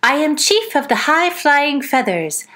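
A woman's voice: sits high, sing-song, a little slow, bright, forceful tone, pitched above the performer's own voice, exaggerated voice. A woman voicing a proud male buzzard, chief of the flying birds, who sings a chant. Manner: sung chant.

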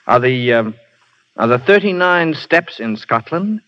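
An actor playing a wry gentleman hero. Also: mid-range voice, lively intonation, unhurried, close to an everyday voice. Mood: wry, soothing. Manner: easygoing and wry. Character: calm, wry gentleman caught up in a spy plot. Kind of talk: 1930s radio drama acting. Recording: dull old radio broadcast.

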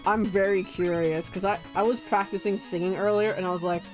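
A man's voice: fairly even intonation, fairly quick. A young man chatting casually while streaming a video game, playful and laughing often. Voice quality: naturally deep for him but light, giggly. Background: faint game music.

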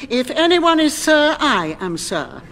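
Withering, imperious English airline boss. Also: female voice, high-pitched, very animated, unhurried, fairly bright tone, exaggerated voice. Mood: scornful. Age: middle-aged. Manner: withering, sarcastic, bossy. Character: imperious, sharp-tongued middle-aged woman airline boss and mother. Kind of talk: radio sitcom acting.

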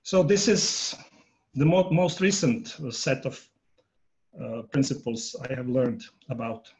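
A man in his fifties, a native Croatian speaker, giving a workshop talk on a video call from home, pausing often with fillers. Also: medium pitch, lively intonation, poor audio. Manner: halting, many filler pauses, polling the audience. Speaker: workshop presenter. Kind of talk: online conference talk. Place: home room. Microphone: earphone headset on a video call.

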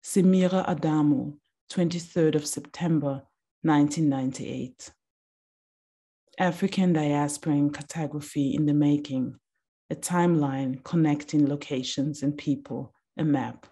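A woman in her thirties giving a slow, deliberate reading of a reflective text over a video call from a small room. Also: sits low, dark tone, breathy edge. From Austria.

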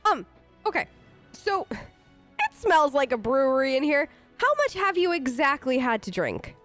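A woman's voice: high voice, sing-song, normal speed, fairly bright tone, faint music behind. A woman role-playing her game character in casual, improvised dialogue, chatty, upbeat and informal.

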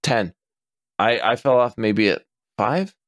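A man in his forties on a podcast, chatting casually, animated and swearing freely as he acts out customers' lines. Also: mid-range voice, slow pace, no echo, clean studio sound. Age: 40s. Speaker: podcast co-host.

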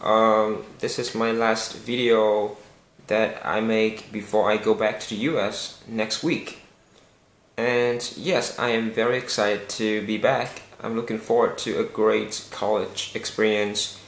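A young man whose first language is Vietnamese, talking slowly and earnestly to the camera in a casual vlog. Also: lowish voice, flat delivery, vocal fry. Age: young adult. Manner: slow, careful and earnest, with some hesitations. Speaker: vlogger. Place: small indoor room with a bare wall. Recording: echoey room.

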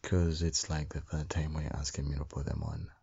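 Very deep, husky, creaky-voiced man. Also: flat delivery, muffled recording. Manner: tender, doting, slow, murmured with soft hums. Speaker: ASMR roleplay performer playing a loving husband and father. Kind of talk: boyfriend ASMR roleplay, soft intimate acting.

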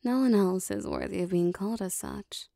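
Woman acting a possessive, creaky-voiced demon woman. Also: medium pitch, dark tone, no echo, studio quality, close to an everyday voice. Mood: content, devoted. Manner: formal, deliberate, intimate phrasing. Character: powerful, possessive female demon devoted to her master. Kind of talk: ASMR roleplay monologue.

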